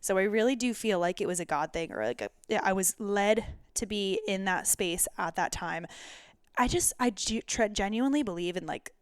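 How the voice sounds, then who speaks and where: A woman's voice: mid-range voice, expressive, moderate pace, clear, resonant tone, no room sound, studio quality. A young adult woman hosting a health podcast, chatty and rambling, often cutting herself off mid-word. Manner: chatty, rambling, self-interrupting. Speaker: podcast host. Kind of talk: solo intro then interviewing.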